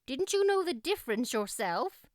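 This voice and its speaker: A woman voicing a stern, practical but gruffly kind older female guardian in an audiobook, with a brisk, curt manner. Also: sits high, very animated, no echo, studio quality, pitched above the performer's own voice. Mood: brisk, exasperated. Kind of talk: audiobook character acting.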